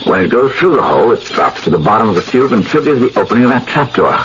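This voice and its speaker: A man playing an intense, earnest research scientist and devoted lover in a 1970s radio drama, speaking in a deliberate, lecturing manner. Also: mid-range voice, big pitch swings, lightly acted. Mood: explanatory. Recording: muffled, very noisy old radio broadcast.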